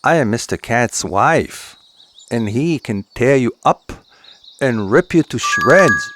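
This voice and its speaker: A man voicing a female fox in a folktale, polite and measured yet menacing. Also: mid-range voice, expressive, noisy background. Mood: polite menace. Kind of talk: storybook dialogue.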